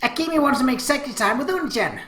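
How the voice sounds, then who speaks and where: Someone doing a whiny clingy teen little sister for an anime game, high pitched n demanding, kinda roomy. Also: big pitch swings, quick pace, fairly bright tone. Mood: pouting, pleading. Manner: whiny demanding, third-person baby talk. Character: clingy, pushy teenage little sister, anime visual novel.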